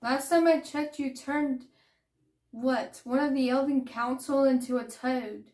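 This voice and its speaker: Girl acting as a pert little fairy student, high voice, doubts everything, echoey room. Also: steady tone, measured pace, darkish tone. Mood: cheeky, doubting. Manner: pert, questioning. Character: young female fairy pupil, cheeky, skeptical, argumentative. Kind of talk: in-character fantasy roleplay.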